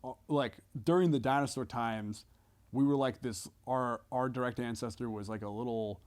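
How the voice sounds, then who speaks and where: Male podcast guest, comedian. Mid-pitched, casual, hesitant phrasing, loose swearing, often seeks confirmation. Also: a little mellow. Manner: halting, hedging, swears casually, checks in with "you know what I mean".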